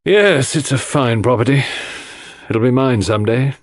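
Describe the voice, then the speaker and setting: A gloomy country gentleman, acted by a male reader, curt and frankly bitter. His audiobook lines sit raised in pitch and move up and down freely. The sound is dry and close. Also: expressive, measured pace, dry recording. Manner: abrupt, grumbling, frank. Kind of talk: audiobook character dialogue.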